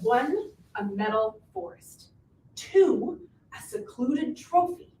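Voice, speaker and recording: A woman playing a high-voiced, bossy plotting witch. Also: slow. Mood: excited. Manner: animated, insistent, exasperated. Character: bossy, scheming witch improvising a story's plot. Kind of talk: live dramatic reading of a comic play. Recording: distant, echoey room recording with hiss.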